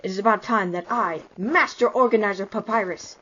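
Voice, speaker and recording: A woman's voice: mid-range voice, fairly quick, a bit bright, natural voice. A woman voicing a pompous, fussy male skeleton with grandiose, formal speech in a fan comic dub. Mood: exasperated. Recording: muffled lo-fi with faint noise.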